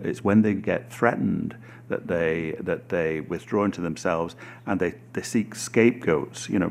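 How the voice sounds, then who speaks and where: A man speaking on a radio panel in a measured, reflective way, slowing down to stress his points. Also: sits low, creaky, a little hiss or hum. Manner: measured, reflective, slows for emphasis. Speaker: panel guest.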